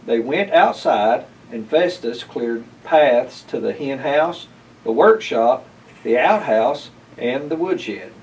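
Slow-paced middle-aged man reading a storybook. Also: medium pitch, lively intonation, darkish tone, distant mic, poor audio. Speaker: library board chair reading aloud. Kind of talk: scripted storybook narration. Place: indoors, library room with bookshelves.

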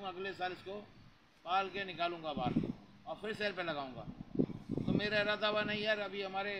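Chatty high-voiced man in a noisy yard. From Pakistan. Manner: chatty, repetitive, frequent religious interjections. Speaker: cattle farm vlogger. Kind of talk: casual selling pitch to camera. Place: outdoor cattle yard with brick walls. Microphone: handheld lavalier mic held near mouth. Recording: noisy outdoor phone recording.

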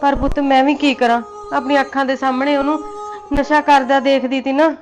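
A woman's voice: sits high, flat delivery, a little fast, natural voice. A woman playing a remorseful mother-in-law who pleads with her son's wife and tries to soothe her. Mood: pleading. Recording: faint music behind.

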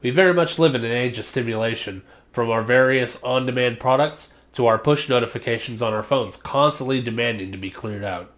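A man's voice: medium pitch, a bit bright. Earnest man reading a scripted explainer. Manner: measured, earnest, read-from-script delivery. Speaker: internet marketing vlogger. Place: small indoor home studio. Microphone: studio condenser mic on stand, just off frame.

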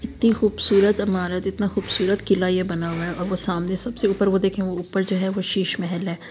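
A hoarse woman from Pakistan with a sore throat, narrating a casual, admiring walking tour for her vlog. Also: mid-range voice, level tone, normal speed, darkish tone, faint music behind. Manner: chatty, admiring, guiding viewers along. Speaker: travel vlogger.

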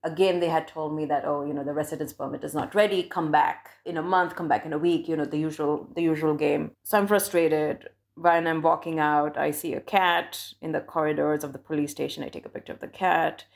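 A young woman from India, a doctoral scholar, recounting a personal experience in a reflective, measured way with frequent restarts. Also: deep voice, steady tone, gravelly.

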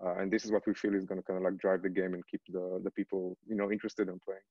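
A man's voice: sits low, little pitch movement, very fast, husky, no room sound. A man in his thirties talking casually and explaining in a video-call Q&A, peppering his speech with filler phrases. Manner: hedging, repeats "kinda like". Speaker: company co-founder from gaming industry. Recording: slightly dull video-call audio.

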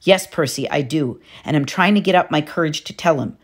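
Gentle anxious mother, read by a woman: soft, pleading. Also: low-pitched, brisk, breathy edge.

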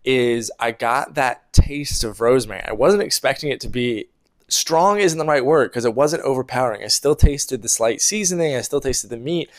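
A young man speaking to the camera with a lapel mic indoors, casually and animatedly reviewing a meal he ate. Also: medium pitch. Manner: chatty, animated, with laughs and fillers. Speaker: producer giving a food review. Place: indoor room at home.

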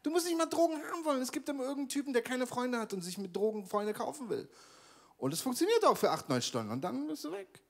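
A man in his thirties from Germany with a Berlin accent, doing stand-up on a theater stage with a handheld mic, animated and jumping between deep and high put-on voices. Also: fast talker, creaky. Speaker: stand-up comedian. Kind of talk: stand-up comedy set.

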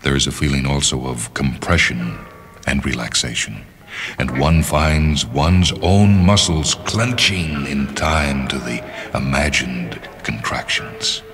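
A man narrating literary fiction as a dramatic reading, in a measured, deliberate manner with pauses. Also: rumbling low. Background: music.

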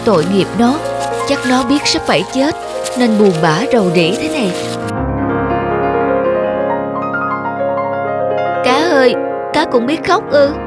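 A man's voice: very high voice, very animated, moderate pace. A man playing a meek, kind young farm servant in a cartoon folk tale, humble and hesitant. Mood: pitying. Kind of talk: animated folk tale acting. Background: music.

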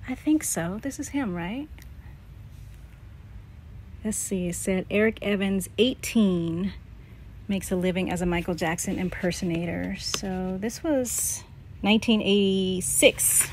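A middle-aged woman thinking aloud in a halting, casual way as she comments on a scrapbook for a vlog. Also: medium pitch, a little hiss or hum. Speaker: vlogger, memoir author.

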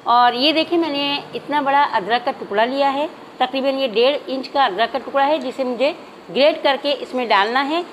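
A woman hosting a cooking channel, calmly walking viewers through a recipe step by step in a home kitchen. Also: sits high, moderate pace, a little hiss or hum, rough recording. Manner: calm, methodical, addresses viewers directly. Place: home kitchen, hands only on camera.

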